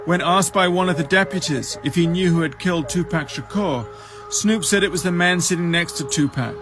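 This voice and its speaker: A man's voice: sits high, a little fast, music in the background. A man reading a scripted news report as a reporter.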